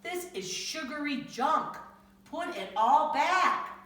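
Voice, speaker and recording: A woman's voice: high-pitched, expressive, takes it slowly, fairly bright tone, voice pushed up, exaggerated voice. A woman doing a scolding, stern dad. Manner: scolding, emphatic. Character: strict father, fussy and stern. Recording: echoey room, from far off.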